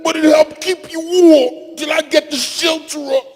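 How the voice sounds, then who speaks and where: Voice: high-pitched, steady tone, unhurried, soft background music. An actor playing an urgent, exclamatory cartoonish hero in a live comedic parody script reading. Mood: disgusted. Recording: echoey convention hall.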